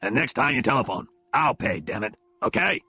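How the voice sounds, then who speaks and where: A man voicing a blunt, gruff older father-in-law in an audiobook, scolding with affection. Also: mid-range voice, expressive, fairly quick, pushed and bright, dry recording, heavily acted.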